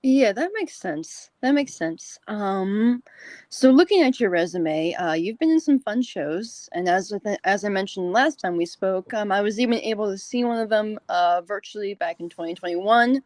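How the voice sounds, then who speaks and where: A woman's voice: medium pitch, lively intonation, normal speed, a little mellow, dry recording. A woman hosting an interview in a casual, conversational style. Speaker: interview host and fellow actor.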